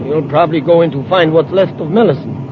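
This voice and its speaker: Ruthless male space villain, acted, high-pitched voice, curt, menacing, domineering, old radio adventure, noisy transfer. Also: fast, phone-quality sound, natural voice. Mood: scheming. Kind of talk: 1950s radio adventure serial acting.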